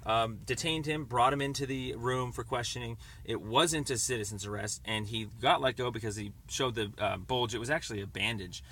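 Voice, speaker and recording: A man speaks as a caller lodging a complaint on the phone, at a middling pitch. He explains at length in a rambling way, often stammering and restarting as he goes. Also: a little fast, few pauses, sharp tone, slight background noise. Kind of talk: phone conversation. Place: on a phone call.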